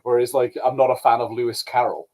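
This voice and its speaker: A man's voice: medium pitch, steady tone, fast, dark tone. A man talking as a podcast co-host in a casual review chat, hesitant and colloquial as he circles back to correct himself.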